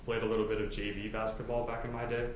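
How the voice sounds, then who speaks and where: A male prosecutor talks to potential jurors in a conversational, informal way, with a fairly low voice. He leans on everyday examples as he questions them. The recording is echoey and muffled, with faint noise in the courtroom. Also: little pitch movement, fast.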